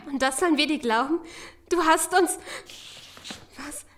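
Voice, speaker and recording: Zombie audio drama: anxious, practical female survivor, high-pitched, questioning. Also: measured pace, a bit bright, slight background noise. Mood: wary. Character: Karin, worried woman survivor, practical and questioning. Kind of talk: German audio drama acting.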